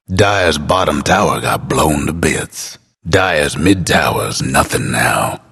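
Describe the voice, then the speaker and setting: An actor playing a laconic, folksy, world-weary male game announcer with an American accent and a gravelly, husky voice. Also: very deep voice, bright, forceful tone, exaggerated voice. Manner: folksy, unhurried, understated. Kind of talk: scripted game announcer lines.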